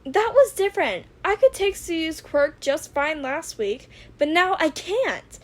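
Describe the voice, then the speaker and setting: A woman voicing an eager, excitable teenage boy inventor in a fan audio drama, speaking in an earnest, emphatic way. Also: very high voice, unhurried, voice pushed up, exaggerated voice. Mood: agitated. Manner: emphatic, earnest, easily worked up.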